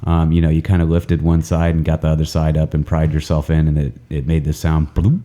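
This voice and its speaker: Podcast host, male, very low and dark, congested from a cold, rambling and self-mocking. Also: monotone, fairly quick. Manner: rambling, self-mocking, many ums and uhs. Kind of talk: casual podcast chat. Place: indoor training center room, several people around a table.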